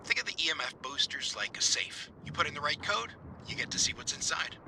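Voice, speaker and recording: An actor playing a brusque, eccentric amateur paranormal investigator in a video game, gruff and wry over a two-way radio. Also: male voice, on the high side, very animated, fairly quick. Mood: businesslike. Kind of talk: naturalistic game voice acting. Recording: noisy two-way radio transmission.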